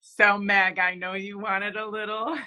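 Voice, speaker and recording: A woman author being interviewed, talking casually and haltingly, restarting her sentences. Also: mid-range voice, flat delivery, pushed and bright. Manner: hesitant, many fillers and restarts. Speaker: author guest.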